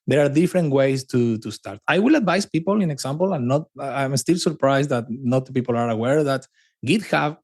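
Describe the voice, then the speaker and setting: A man from Argentina, a native Spanish speaker with an Argentine accent, talking as a podcast guest in an animated, repetitive, conversational way. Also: mid-range voice, moderate pace. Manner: animated, with frequent restarts and emphatic repetition. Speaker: podcast guest, cloud advocate. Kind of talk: casual conversational interview.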